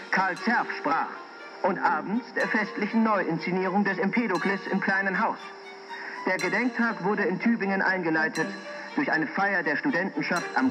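High voiced guy reading out an old report, very formal and declamatory, music behind him. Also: poor audio. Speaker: reader of historical reports. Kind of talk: scripted formal documentary reading. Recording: music playing clearly underneath.

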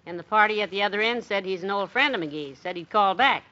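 An actress playing a sensible, affectionate, gently scolding housewife in a 1950s radio comedy. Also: female voice, mid-range voice, quick pace, lightly acted. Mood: matter-of-fact. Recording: muffled vintage radio recording.

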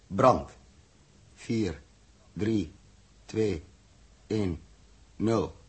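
An actor playing a brisk, genial spaceship commander in a 1960s Dutch radio play, with a husky voice and a Netherlands accent, switching between crisp orders and easy banter. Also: fairly low pitch, heavily acted. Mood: focused, commanding. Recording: 1960s radio drama recording.

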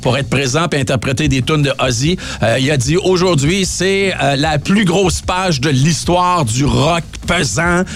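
Higher-pitched Quebec man hosting radio. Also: a little slow, few pauses. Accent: Quebec French. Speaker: radio host. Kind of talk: casual music-news radio chat. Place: radio studio. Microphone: studio broadcast microphone.

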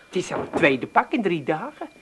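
A woman's voice: on the low side, sing-song, brisk, a little hiss or hum. An actress playing an exasperated, practical Amsterdam woman in a comic radio play, with a nagging, questioning manner.

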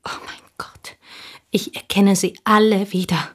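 An actress playing a sober provincial woman, a devoted childhood confidante, in a German radio drama, speaking in a measured, wistful way. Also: medium pitch, flat delivery, close to an everyday voice. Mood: reminiscing. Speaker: actor in a radio play. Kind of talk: German radio drama acting, reflective storytelling.

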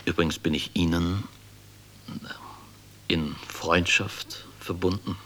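Deep-voiced, sardonic army major. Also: male voice, steady tone, takes it slowly, bright, forceful tone, full character voice. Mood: measured. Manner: sardonic, condescending, chuckling at the young. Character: wry, guarded army major, patronizing yet obedient volunteer leader. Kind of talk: German radio drama acting.

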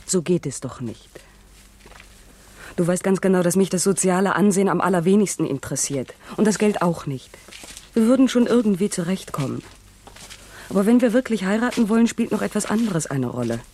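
Mellow, reproachful young woman. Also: on the low side, animated, a little fast. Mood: resigned, earnest. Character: reproachful, practical young woman, programmer's frustrated fiancée. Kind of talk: 1960s German radio drama acting.

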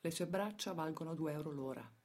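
A grave female storyteller in a radio play. Her voice sits low, and she phrases each line in a measured, clipped way, leaning on key words with dramatic weight. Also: darkish tone. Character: grave female storyteller recounting workers' tragedies. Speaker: stage and radio actress. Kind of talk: dramatic scripted radio theatre narration.

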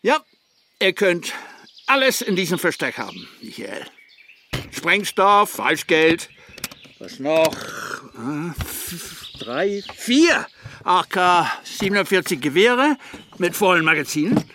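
An actor playing a sardonic older Irish ex-militant father in a radio crime drama, with a mocking, halting, theatrical delivery. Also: high-pitched, big pitch swings, background noise, full character voice.

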